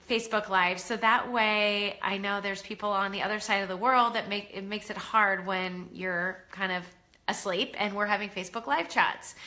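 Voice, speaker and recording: A woman's voice: mid-range voice, pushed and bright. Nasal woman with a head cold. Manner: chatty and encouraging, many ums. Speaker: parenting coach hosting a live online class. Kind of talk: casual live-stream talk to viewers.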